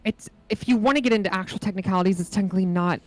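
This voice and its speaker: A woman's voice: medium pitch, racing. Young woman, plain mid voice, patient explainer, casual chat. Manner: explanatory, matter-of-fact, hesitant fillers.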